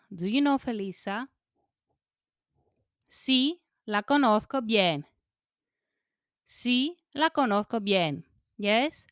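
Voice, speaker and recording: A woman's voice: on the high side, fairly bright tone, smooth, dry recording, clean studio sound. A woman teaching as a language teacher, reading each phrase in pieces, repeating it and then giving the translation. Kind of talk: slow phrase-by-phrase language drill.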